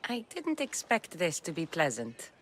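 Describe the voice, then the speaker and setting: A resolute Spartan fighter from a game cutscene. She is direct and defiant, with animated pitch, in a long reverberant space. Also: normal speed, slight background noise. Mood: guarded, questioning. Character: determined, defiant Spartan mercenary daughter, adult. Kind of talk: dramatic video game acting.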